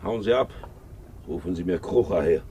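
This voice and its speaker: An actor playing a hard, brooding ageing ship's captain in a 1950s radio drama, shifting between muttering and barking. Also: male voice, low-pitched, moderate pace, mellow, lo-fi sound, a little hiss or hum. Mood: harsh, commanding. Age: older. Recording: 1950s radio recording, faint music.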